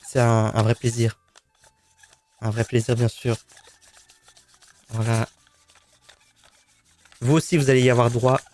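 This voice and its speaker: A man's voice: mid-range voice, flat delivery, a little slow, long pauses, darkish tone, faint music behind. A man streaming live, chatting casually and rambling teasingly to his cat.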